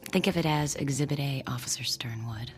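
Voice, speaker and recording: A woman's voice: rumbling low, fairly quick, soft background music. A woman acting a sardonic but affectionate policeman's wife in a radio drama, with dry, teasing delivery. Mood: teasing, reproachful.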